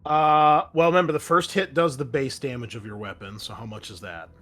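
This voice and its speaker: A man's voice: medium pitch, brisk, pushed and bright. Man game master running a tabletop game. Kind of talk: tabletop game narration and rules talk.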